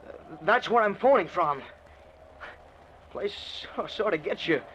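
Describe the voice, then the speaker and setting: A man's voice: high voice, animated, measured pace. A man playing a nervous, hesitant stranded husband in an old-time radio suspense drama. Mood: uneasy, sheepish. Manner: hesitant, stammering. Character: nervous stranded husband alone in a haunted house. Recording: muffled, noisy old radio recording.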